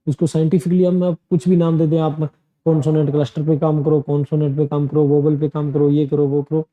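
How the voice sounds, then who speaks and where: A man's voice: on the high side, muffled recording. A man giving a casual spoken coaching lesson, chatty and repeating his points with loose restarts. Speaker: PTE and IELTS coaching teacher. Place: indoor teaching room.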